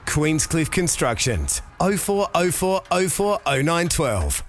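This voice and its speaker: A man reading a brisk, punchy radio ad for a builder. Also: a little high, sing-song, slight background noise. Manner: brisk, punchy sales read. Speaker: ad voice-over. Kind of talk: scripted radio ad read.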